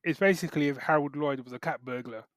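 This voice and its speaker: A middle-aged British man chatting casually as a podcast co-host, halting and correcting himself as he goes. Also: a little high, dry recording. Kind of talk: casual chat, geek reviews.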